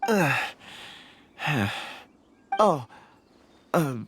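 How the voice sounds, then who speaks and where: A man's voice: on the high side, sing-song, drawn-out words, very noisy, full character voice. An actor playing a mild, polite young man in a video game, hesitant, sighing and trailing off. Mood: hesitant, faint.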